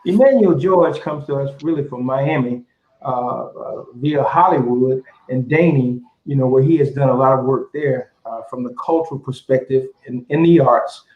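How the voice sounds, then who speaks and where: A man's voice: mid-range voice, normal speed. A man hosting an online panel, bringing on guests with encouraging, repetitive words. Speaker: host. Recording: roomy, muffled audio.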